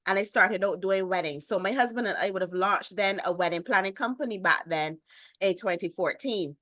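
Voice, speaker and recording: A woman from Barbados in her thirties with a Barbadian accent, a guest telling her story in an interview with expressive emphasis. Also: medium pitch, fairly quick, no echo. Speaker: guest, business lifestyle strategist. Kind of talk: interview, personal introduction.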